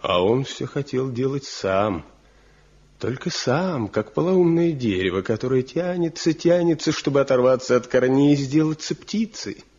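An actor playing a proud, stubborn master woodcarver from a mountain village in a radio drama, speaking deliberately in folksy, declamatory phrases. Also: male voice, medium pitch, lively intonation, darkish tone, husky. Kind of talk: Soviet radio drama acting. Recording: muffled 1970 radio play recording.

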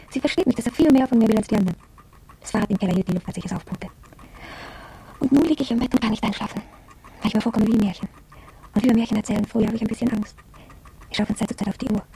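A woman's voice: medium pitch, dark tone, slight background noise, natural voice, poor audio. An actress playing an impressionable, wondering young shop girl who confides her experiences in a reflective radio drama monologue. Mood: sleepless, excited.

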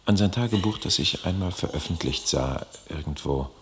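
A man's voice: sits low, expressive, normal speed. A man narrating a radio play in a reflective, scripted style. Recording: reverberant 1950s radio recording.

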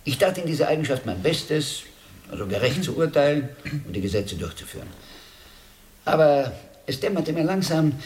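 An eccentric, urbane ex-judge in a radio drama, medium in pitch. His melody swings widely as he muses, pausing deliberately and sounding quietly amused at himself. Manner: deliberate, musing, with hesitations and rhetorical pauses. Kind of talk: theatrical monologue in a radio play.

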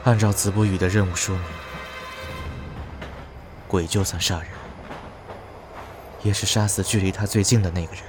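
An actor playing an anxious man trapped in a horror drama, reasoning tensely to himself in deliberate inner monologue. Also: steady tone, music in the background, natural voice. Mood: tense, uneasy. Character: anxious male player trapped in a ghost task. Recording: noisy train ambience. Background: train rumble.

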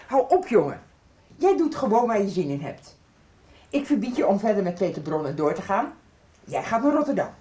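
A woman's voice: on the high side, darkish tone. An actress playing a strict, exasperated middle-aged mother in a radio soap, scolding and commanding. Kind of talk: 1990s Dutch radio soap acting.

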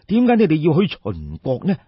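A man's voice: fairly high pitch, huge pitch swings, brisk, slightly rough, dry recording, voice pushed up, heavily acted. A man voicing a compassionate scholarly official in an audiobook story, courteous, earnest and concerned. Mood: puzzled. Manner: earnest questioning, polite address. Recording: dull, muffled lo-fi audio.

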